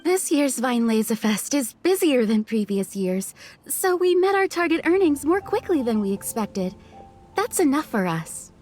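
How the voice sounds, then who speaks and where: A woman voicing a kindly, selfless shop owner in a video game, earnest and gentle. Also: sits high, very animated, normal speed, faint music behind. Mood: sincere. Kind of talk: animated video game acting.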